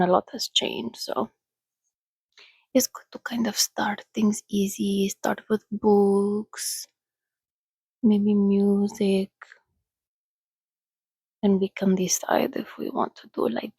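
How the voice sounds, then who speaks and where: A woman voicing a soothing, centuries-old, well-mannered vampire in a soft-spoken ASMR roleplay, chatting gently and often dropping to a whisper. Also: medium pitch, gravelly, breathy edge, no echo, full character voice. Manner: unhurried, gentle, chatty, reassuring.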